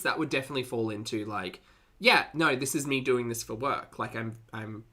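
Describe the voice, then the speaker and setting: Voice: medium pitch, bright, forceful tone. A streamer giving casual, wry live commentary to a chat, often chuckling and repeating phrases. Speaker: streamer reacting to videos and chatting with viewers.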